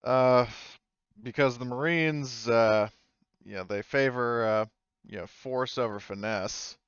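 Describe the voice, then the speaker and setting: A man's voice: medium pitch, takes it slowly, clear, resonant tone, dry recording. A man playing a matter-of-fact agent in a tabletop roleplay, explaining things in a hedging way, on a dull recording. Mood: explanatory. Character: matter-of-fact male agent advising on supplies.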